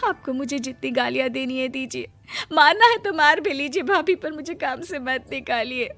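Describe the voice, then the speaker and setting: A woman's voice: high-pitched, animated, normal speed, fairly bright tone, soft background music. A woman voicing a poor, meek, kind-hearted young wife, earnest and emotional, in a moral story. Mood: pleading. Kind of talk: acted moral-story dialogue.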